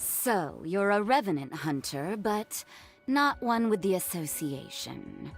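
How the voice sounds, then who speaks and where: A woman's voice: mid-range voice, very animated, slow pace, soft background music, full character voice. Posh-talking vampy noblewoman for a game, playful n teasing, voice swings all over. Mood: teasing, amused. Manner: teasing, theatrical, elegant phrasing. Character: haughty, playful, predatory noblewoman with a taste for blood. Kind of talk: video game cutscene acting.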